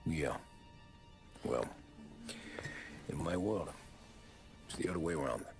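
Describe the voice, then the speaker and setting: Tired gangster informant, deep voice, American accent, terse, guarded, crime game cutscene, music behind. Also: male voice, slow, creaky, exaggerated voice. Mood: weary, reflective. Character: weary, guarded mobster turned informant, family man. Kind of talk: cinematic video game acting.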